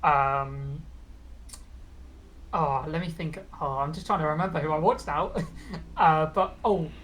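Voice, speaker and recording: A man's voice: a little high, fairly even intonation, unhurried, a bit bright. A young British man with a regional accent, a VTuber guest chatting casually and rambling with lots of fillers. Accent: British, non-London regional. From Britain. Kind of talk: casual interview chat.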